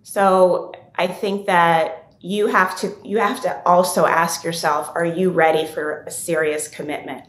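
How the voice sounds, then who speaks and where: Guest, woman, wry deadpan, echoey home room over video call. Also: fairly low pitch, unhurried, hardly any pauses. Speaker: guest comedian. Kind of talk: casual chat.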